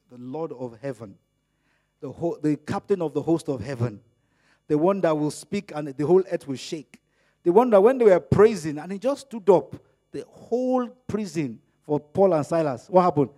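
A middle-aged man whose first language is Yoruba, preaching a sermon in an animated way, acting out little dialogues as he goes. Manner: animated, acts out dialogues with rising pitch and volume.

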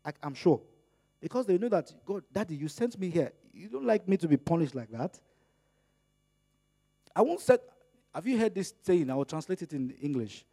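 A middle-aged man whose first language is Yoruba, preaching a sermon in an animated way, acting out little dialogues as he goes. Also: moderate pace, vocal fry, pauses often, breathy edge. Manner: animated, acts out dialogues with rising pitch and volume.

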